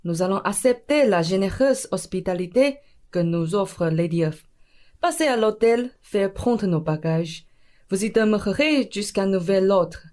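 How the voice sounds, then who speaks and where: A woman's voice: medium pitch, a little mellow, lightly acted. A woman playing a bungling male thief posing as a Spanish duke in a student radio play, hesitant and stammering, then effusive and bossy.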